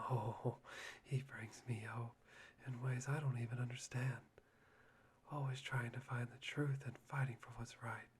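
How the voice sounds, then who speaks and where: A man voicing a polite, brooding young man in a soft, halting, breathy whisper for an ASMR roleplay. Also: medium pitch, slight background noise, natural voice. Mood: reverent, musing.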